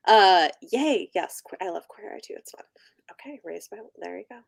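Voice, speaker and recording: A woman's voice: on the low side, expressive, moderate pace, gravelly. A woman chatting casually and informally as a livestream host, enthusiastic about what she likes. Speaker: book channel livestream host. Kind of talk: casual chat.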